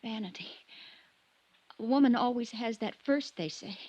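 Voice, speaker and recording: A woman's voice: on the high side, normal speed, mellow, no room sound, muffled recording, lightly acted. An actress playing a hurt, frightened young woman in a melodramatic vintage radio play, fragile and pleading. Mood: wounded, hushed. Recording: dry vintage radio recording.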